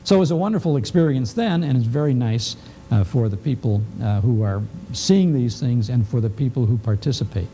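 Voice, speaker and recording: A man giving an unscripted interview explanation as a guest, measured, with deliberate pauses and repeated phrases. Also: mid-range voice, lively intonation, warm tone, slight background noise, low-quality recording. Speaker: guest, old-time radio broadcaster.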